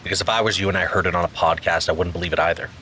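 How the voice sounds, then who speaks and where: Male actor as weary confessional man, lowish bright forceful voice, terse audio-drama narration, rainy background noise. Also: steady tone, rapid-fire. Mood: troubled, uneasy. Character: troubled male narrator haunted by mysterious voice messages, weary. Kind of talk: fiction podcast monologue, confiding narration.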